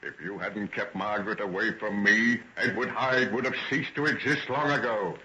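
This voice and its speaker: An actor playing a gloating, murderous madman villain in melodramatic old radio drama style, taunting and swinging from hushed to shouting. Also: on the low side, moderate pace, close to an everyday voice. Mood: fervent rage. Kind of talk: 1940s radio drama acting. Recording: muffled, noisy old radio recording.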